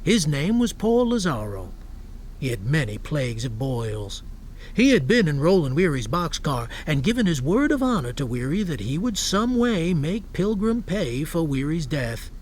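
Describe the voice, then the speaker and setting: A man narrating a radio drama from a literary script in a terse, deliberate, matter-of-fact way. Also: on the high side, big pitch swings, darkish tone. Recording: faint ambient noise behind.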